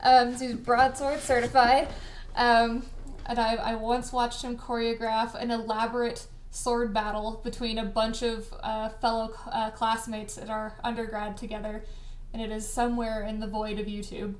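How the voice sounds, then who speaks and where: A woman's voice: on the high side, little pitch movement, normal speed, reverberant, slight background noise. A woman hosting a casual group chat on a stream, giggly and informal, teasing her guests as she introduces them. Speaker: host of a cast party stream.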